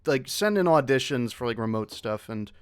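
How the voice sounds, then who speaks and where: Smooth-voiced man chatting on a panel. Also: mid-range voice, lively intonation, normal speed, no room sound. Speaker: voice actor on an online convention panel. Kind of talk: casual panel chat.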